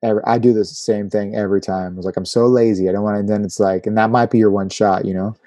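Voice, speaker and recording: A man's voice: lowish voice, flat delivery, quick pace, warm tone, no room sound. A man hosting a podcast, chatting casually in a laid-back, conversational way. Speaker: podcast host. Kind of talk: casual chat.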